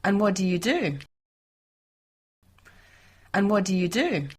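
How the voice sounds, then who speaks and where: Woman, husky voice, lively intonation, simple interview questions for language learners. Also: medium pitch, takes it slowly.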